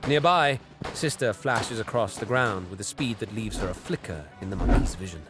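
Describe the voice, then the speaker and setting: A man playing a cruel, haughty alien raider warrior who narrates his hunt in a deliberate, menacing, disdainful way. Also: on the low side, close to an everyday voice. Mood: predatory, intent. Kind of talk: scripted dramatic first-person narration. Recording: music behind the voice.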